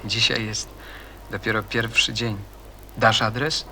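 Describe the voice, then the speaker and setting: A man's voice: on the low side, little pitch movement, slow, pushed and bright, heavily acted. An actor playing a smitten, intense young man in a radio play, earnest and sparing with words. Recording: echoey reverberant space, faint noise.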